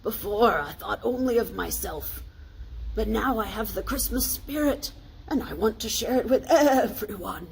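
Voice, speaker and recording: A woman's voice: medium pitch, lively intonation, measured pace, gravelly, a little mellow, breathy edge, pitched above the performer's own voice, exaggerated voice, poor audio. A woman voicing a reformed old man in a storybook, eager, pleading and grateful.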